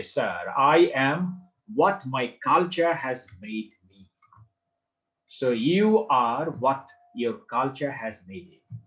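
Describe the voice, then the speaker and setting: A man's voice: fairly high pitch, muffled recording. A man with a Bangladeshi English accent giving a university literature lecture, emphatic, repeating key phrases and checking that students understand. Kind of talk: classroom lecture.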